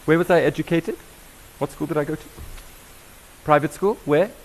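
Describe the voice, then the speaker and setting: Lively South African man quizzing an audience. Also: a little high, normal speed, slight background noise, rough recording. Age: young adult. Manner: lively, questioning the audience directly. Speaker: diversity workshop presenter. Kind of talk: interactive conference talk.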